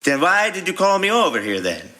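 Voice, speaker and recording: Man acting a curt, bright-voiced bobcat. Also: high-pitched, sing-song, brisk, a little hiss or hum, exaggerated voice. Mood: impatient. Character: curt male bobcat garage worker, guarded. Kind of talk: acted audio fiction dialogue.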